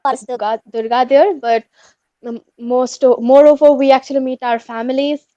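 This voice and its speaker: A high-voiced Indian woman talks in a halting, polite way, often restarting as she looks for the right word. The audio is dull and lo-fi with no room sound at all, like a laptop video call. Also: steady tone, dark tone, dry recording. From India, West Bengal. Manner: halting, searching for words, polite. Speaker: language student. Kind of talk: casual video-call chat.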